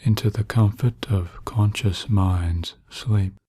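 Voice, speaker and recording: A man narrating guided sleep hypnosis in a soothing, hushed manner with long pauses. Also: on the low side, fairly even intonation, husky.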